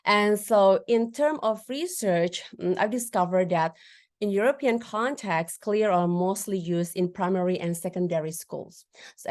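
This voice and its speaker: A woman lecturer with an Indonesian accent, whose first language is a regional Indonesian one, giving a webinar presentation over a video call, with fillers, restarts and questions to the audience. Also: fairly low pitch. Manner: lively, frequent fillers and restarts, addresses audience with tag questions.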